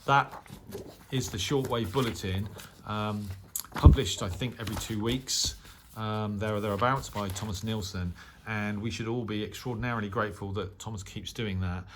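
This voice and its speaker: Low-pitched man, halting delivery. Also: background noise. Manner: hesitant, halting, conversational delivery with many filled pauses. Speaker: radio hobby channel host. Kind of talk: casual unscripted talk-through of a newsletter.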